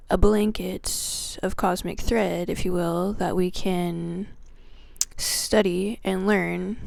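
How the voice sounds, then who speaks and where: A woman teaches the energies of the zodiac at a slow, deliberate pace. Her voice carries a gravelly, crackling texture, and she often softens into a whisper as she lists qualities one by one. The sound is close and dry, with no room echo. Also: lowish voice. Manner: slow, drawn-out delivery with soft whispered phrases; reverent, lists qualities one word at a time. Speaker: astrology teacher. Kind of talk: spiritual teaching video opened with a prayer.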